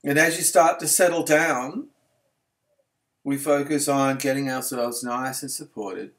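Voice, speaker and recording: Male yoga nidra instructor, mid-range pitch, soothing and unhurried, gentle guided-meditation delivery.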